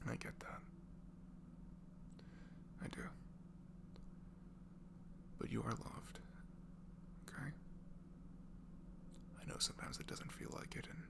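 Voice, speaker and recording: A man speaking softly and breathily in an improvised, comforting ASMR voice message, rambling affectionately and informally as if to a close friend. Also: deep voice, gravelly. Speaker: amateur ASMR audio creator playing a supportive friend.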